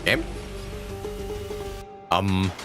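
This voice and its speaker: A woman's voice: a bass voice, flat delivery, extremely slow, over music. A woman livestreaming a game, giving short casual reactions and swearing freely. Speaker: streamer playing a story game.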